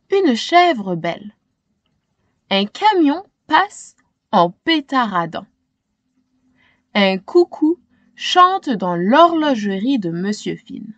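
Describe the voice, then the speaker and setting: A woman's voice: mid-range voice, no room sound, muffled recording. A woman hosting storytime, reading a children's story carefully and with dramatic expression. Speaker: storytime reader and host. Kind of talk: scripted children's storybook narration.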